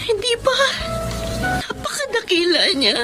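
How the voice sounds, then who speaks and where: Young Filipina daughter role: very high voice, distressed, pleading, heartfelt melodrama, music underneath. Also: female voice, very animated, a little slow, full character voice. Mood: solemn, emotional. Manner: emotional, earnest, pleading. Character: devoted, distressed young adult daughter of an ailing stepfather.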